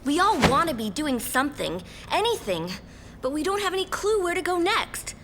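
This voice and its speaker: An earnest, eager squad member in a video game. Her voice is fairly high, over a faint rumble. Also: very animated, moderate pace, fairly bright tone. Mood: frustrated. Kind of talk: video game cutscene acting.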